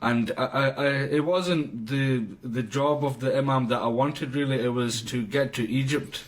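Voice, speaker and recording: A man, an imam, answering podcast interview questions in a halting way with many fillers. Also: mid-range voice, distant mic.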